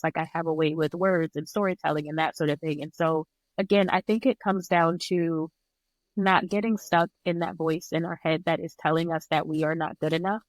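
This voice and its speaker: A woman's voice: low-pitched, no room sound, poor audio. A woman guest on a podcast, a breathwork and yoga instructor, talking in a reflective, earnest way with a slightly congested, nasal sound as she gets over being sick. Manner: reflective, earnest, with frequent "like" fillers. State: recovering from illness. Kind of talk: conversational interview.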